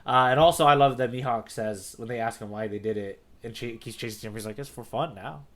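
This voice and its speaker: A man with a medium-pitched voice talks as a podcast co-host. He is casual and enthusiastic, laying out his ideas in an explanatory way and often checking that his partner follows. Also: brisk. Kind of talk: casual chat discussing a manga.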